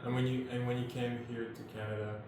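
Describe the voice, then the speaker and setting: A man's voice: medium pitch, flat delivery, fast talker. A man hosting a casual interview, prompting his guest with questions and laughing easily. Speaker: podcast host. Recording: echoey room, distant mic, background noise.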